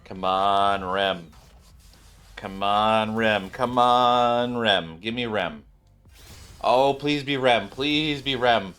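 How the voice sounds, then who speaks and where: A man with a fairly low voice gives casual gameplay commentary as a channel host. He is excitable and repetitive in his phrasing, and music plays behind him. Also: extremely slow, clean tone. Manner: excitable, exclamatory, repeats phrases.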